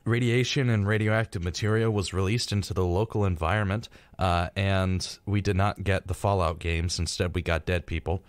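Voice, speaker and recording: Low-voiced young American man, dry close sound. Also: steady tone, moderate pace, few pauses, no echo. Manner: flippant dark humour. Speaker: radio show co-host. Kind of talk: casual joking chat mixed with reading notes. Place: radio studio.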